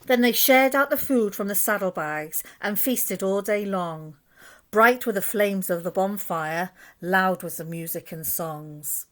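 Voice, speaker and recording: A woman narrates a rhyming picture book for young children. Her voice is mid-pitched, and she tells the tale expressively, following the bounce of the verse. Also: a little slow, fairly bright tone. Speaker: storyteller reading to schoolchildren.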